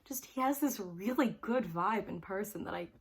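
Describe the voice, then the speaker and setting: A woman's voice: medium pitch, lively intonation, moderate pace, breathy edge. Bubbly, rambling teenage girl. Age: teens. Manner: bubbly and rambling, full of "like" fillers; giggly, laughs often between phrases. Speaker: vlogger. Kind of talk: casual storytelling to camera.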